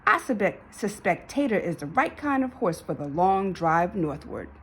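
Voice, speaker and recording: Expressive woman storyteller, slightly husky. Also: on the low side, normal speed. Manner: dramatic, emphatic storytelling. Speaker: storybook narrator. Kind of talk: expressive children's read-aloud.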